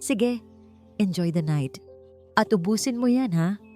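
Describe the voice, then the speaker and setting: A woman's voice: medium pitch, lively intonation, unhurried, mellow, faint music behind, voice pushed up, close to an everyday voice. A woman with a Filipino accent voicing a sweet-talking young socialite villainess in an audiobook drama. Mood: falsely friendly. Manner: sugary, switches between Tagalog and English.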